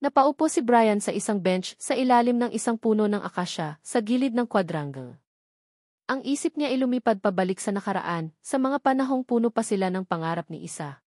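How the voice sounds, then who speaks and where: A woman's voice: mid-range voice, lively intonation, brisk, darkish tone, dry recording, studio quality. A woman reading a scripted, dramatic romance story as narrator.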